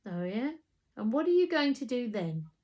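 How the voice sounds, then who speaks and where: A woman's voice: fairly high pitch, very animated, normal speed, mellow, highs cut off. A woman voicing a confident, bossy young girl in a storybook, assertive and pointed. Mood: sceptical. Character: confident, bossy, huffy young girl, boy narrator's friend.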